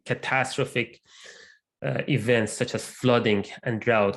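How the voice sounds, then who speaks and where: Man presenting on a video call. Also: fairly low pitch. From immigrant to Canada. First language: not English. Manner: measured, with frequent fillers and restarts. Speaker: research lab lead presenting. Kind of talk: online presentation talk. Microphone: computer mic on video call.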